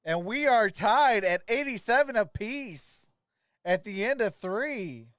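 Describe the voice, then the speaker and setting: A man's voice: sits high, animated, slow, a bit bright, slight background noise. A man doing play-by-play for a basketball livestream and chatting with viewers, recorded dry and close.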